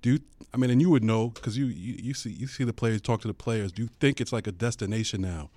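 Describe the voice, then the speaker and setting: A man with a lowish voice, recorded very dry and close. He co-hosts a sports chat, feeling his way into questions with repeated phrases. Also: quick pace, dark tone, dry recording. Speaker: co-host, sports writer. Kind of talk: conversational sports interview.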